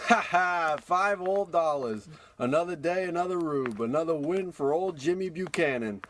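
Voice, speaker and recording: A sly small-time crook in a comic radio play, played by a man. His voice is fairly high, wheedling and full of wise-guy slang, with faint street noise behind it. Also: moderate pace, fairly bright tone, lightly acted. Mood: smug.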